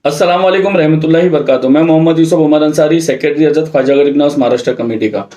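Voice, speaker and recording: A man's voice: a little high, quick pace, dark tone. A man giving an explanatory public address, speaking emphatically and step by step. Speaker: religious committee secretary. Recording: echoey room.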